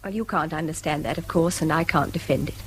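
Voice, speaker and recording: An actress playing a restrained, wistful middle-aged English wife in a radio drama, with a breathy, husky edge. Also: female voice, low-pitched, fast talker, slight background noise, lightly acted. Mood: wistful, defiant.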